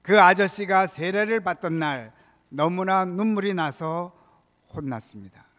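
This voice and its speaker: An older man preaching a prepared sermon as a pastor, in a measured, solemn way, from a church pulpit microphone. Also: high-pitched, lively intonation, a bit bright. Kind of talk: sermon, read from prepared text. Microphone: gooseneck pulpit microphone. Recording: reverberant church hall sound.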